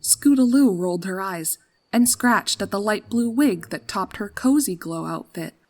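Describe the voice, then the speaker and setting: A woman's voice: medium pitch, expressive, measured pace, a little mellow. A woman reading a scripted story as narrator in a dramatic storytelling style. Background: background music.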